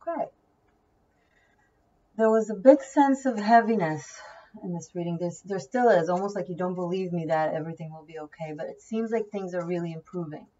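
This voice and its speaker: A woman's voice: on the low side, brisk, warm tone, recorded from a distance, dull audio, a little hiss or hum. A woman whose first language is Hebrew, giving a casual tarot reading, chatty and thinking aloud, often repeating key words. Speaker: tarot reader.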